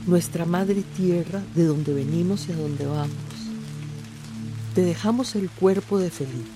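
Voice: mid-range voice, a little slow, lightly acted. A performer playing a spiritual elder leading a burial rite in a radio drama, solemn and ceremonial. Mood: solemn, reverent. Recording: music and rain behind the voice.

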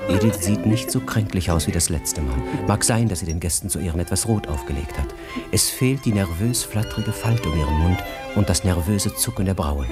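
An actor as narrator of a German radio drama, telling the story in a measured, intimate, expressive literary style. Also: male voice, sits low, over loud music. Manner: measured, expressive, savouring details.

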